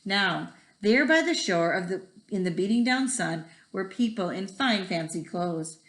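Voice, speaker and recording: A woman's voice: medium pitch, expressive, a little slow, a bit bright. A woman reading a children's picture book aloud expressively, with a rhythmic delivery that draws out repeated words. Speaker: read-aloud storyteller. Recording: echoey room.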